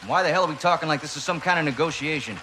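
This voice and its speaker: An actor playing a cocky, dismissive mercenary commander who talks in clipped lines. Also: male voice, fairly high pitch, racing, bright, forceful tone. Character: cocky male mercenary commander, smooth and cold. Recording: heavy rain noise behind.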